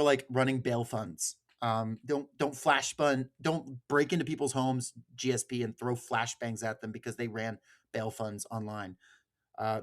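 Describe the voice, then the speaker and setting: A man's voice: medium pitch, fairly bright tone. An American man hosting a podcast in casual political discussion, emphatic and sweary, often repeating words as he goes. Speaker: podcast host.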